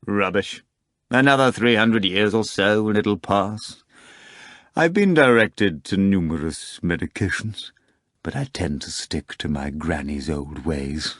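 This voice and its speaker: A man voicing a gruff, commanding devil who speaks tersely and wryly. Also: low voice, animated, a little slow, a bit bright, no echo, studio quality. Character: commanding, weary devil, old male master of a ball.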